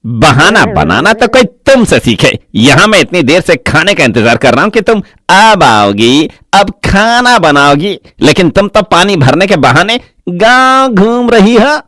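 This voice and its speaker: High-pitched grumpy village husband, acted by a man. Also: big pitch swings, a little slow, bright, forceful tone, no room sound, studio quality, full character voice. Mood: scolding. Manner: sarcastic, scolding. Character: grumpy, demanding village husband, sarcastic and bossy. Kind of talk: Hindi cartoon story acting.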